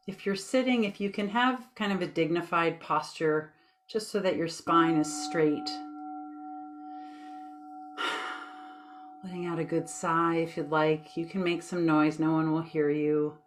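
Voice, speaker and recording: Woman w/ a lowish voice doing a guided meditation, soothing n unhurried, kinda informal. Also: a little hiss or hum. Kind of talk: guided meditation, gentle spoken instruction.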